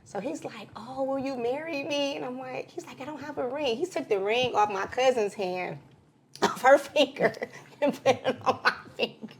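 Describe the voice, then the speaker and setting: A woman's voice: high-pitched, moderate pace, slight background noise. A woman co-hosting a podcast, telling a casual story and acting out other people's words. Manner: animated storyteller, acts out quotes. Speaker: podcast co-host.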